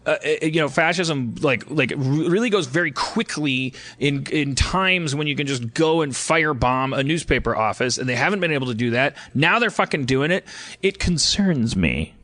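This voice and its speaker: Man, American accent, sharp bright tone, slightly high pitch, rambling comedic host, stop-start phrasing, swears freely. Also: animated. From Wisconsin, United States. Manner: halting, self-deprecating, sweary. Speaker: podcast host. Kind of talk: comedic panel chat, rambling rants.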